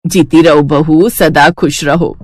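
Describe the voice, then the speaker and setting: A woman plays an older mother-in-law in a cartoon family tale. The voice sits low and is recorded close and dry. The manner is tender and reassuring, that of a supportive elder. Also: steady tone, takes it slowly, a little mellow, dry recording. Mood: blessing. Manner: affectionate, reassuring. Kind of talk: Hindi cartoon story acting.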